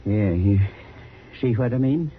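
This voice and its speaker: An actor playing an earnest, protective frontier husband with plain speech in a 1950s radio western. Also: male voice, medium pitch, animated, unhurried, natural voice. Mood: tender, earnest. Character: earnest, devoted widowed homesteader, protective husband. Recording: tinny, hissy old radio recording.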